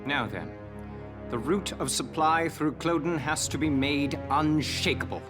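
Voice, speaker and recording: An actor playing a composed, imperious noble army commander in a game cutscene, speaking formally and with measured authority. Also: male voice, fairly high pitch, music in the background, close to an everyday voice. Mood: imperious, resolute. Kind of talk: dramatic game cutscene acting.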